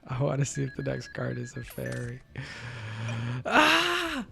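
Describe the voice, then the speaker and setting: A man with a gravelly, fry-edged voice talks his way through a card game for his chat. He muses over each choice and bursts into loud, excited exclamations when a play surprises him. Soft music plays underneath. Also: medium pitch, measured pace. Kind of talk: thinking aloud while playing, talking to chat.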